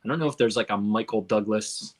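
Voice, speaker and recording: A man's voice: lowish voice, fairly quick. A man talking casually and colloquially as one of a group of film review panelists.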